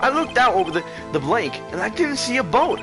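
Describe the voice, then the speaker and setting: A man acts out a flustered young guy on the witness stand in a courtroom game. His voice is pushed high and swings about. He rambles and stammers defensively, with music playing behind him. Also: sing-song, a little fast. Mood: uneasy recounting. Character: excitable, flustered young male witness, slacker friend of the lawyer.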